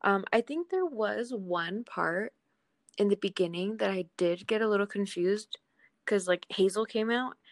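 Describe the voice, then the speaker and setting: A woman's voice: mid-range voice, a little slow, dry recording, studio quality. A young woman co-hosting a podcast in casual, agreeable, rambling chat with lots of "like". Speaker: podcast co-host.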